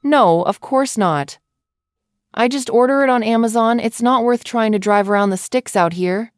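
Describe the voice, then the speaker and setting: A woman's voice: fairly high pitch, normal speed, no room sound, studio quality, close to an everyday voice. A woman playing a harried, everyday pet owner in an audio story, with a conversational, wry manner. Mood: casual, wry.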